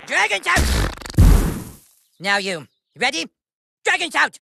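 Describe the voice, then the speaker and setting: A man's voice: very high-pitched, huge pitch swings, slow pace, creaky, very noisy, exaggerated voice. An actor voicing a bossy, scheming young boy wizard in a cartoon game, with a nasal, whiny delivery. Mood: pompous. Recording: game audio with music behind.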